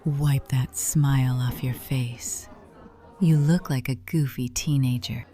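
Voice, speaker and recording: Mature female romance-game lead: very deep, mellow, breathy, affectionate, teasing but guarded. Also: fairly even intonation, takes it slowly, soft background music. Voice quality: breathy, often whispery. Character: Nora, affectionate older aunt, teasing yet cautious lover. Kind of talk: visual novel voice acting.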